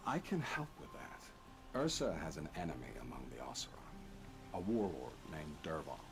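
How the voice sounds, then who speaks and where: A man's voice: a bass voice, wildly swooping pitch, moderate pace, vocal fry, music in the background, exaggerated voice. An actor playing a polite, formal male royal adviser in a game. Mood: scheming. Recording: game audio under a stream, with background noise.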